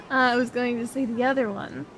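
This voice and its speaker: Young female best friend played by a woman, expressive roleplay style, unhurried, faint noise behind. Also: fairly high pitch. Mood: playful, chatty. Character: excitable young woman on an outing with her best friend, sweet and easily discouraged. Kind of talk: ASMR roleplay audio drama addressed to the listener.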